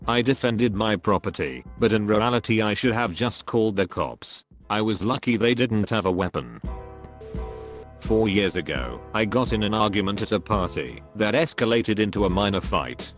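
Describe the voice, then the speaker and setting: A man narrating first-person stories in a steady, even read-aloud delivery. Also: on the low side, moderate pace, soft background music. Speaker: narrator reading online stories.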